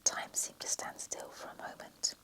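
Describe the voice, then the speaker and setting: A reader whispering a romantic story in a soft, unvoiced hush, with small slips and self-corrections. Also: normal speed. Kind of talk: whispered story reading, ASMR.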